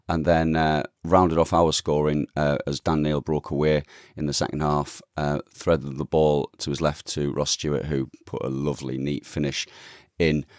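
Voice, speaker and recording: A man hosting a football podcast, hoarse this morning, recapping the match in a chatty, enthusiastic way. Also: a bass voice, no echo, clean studio sound. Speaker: podcast host. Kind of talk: casual football podcast chat.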